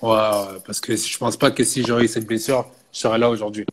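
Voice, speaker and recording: A man's voice: lowish voice, a little fast, crowd noise. Belgian man in his thirties, chatting easily. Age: 30s. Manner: relaxed, frequent filler "tu vois" and restarts. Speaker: personal fitness coach. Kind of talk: casual interview talk.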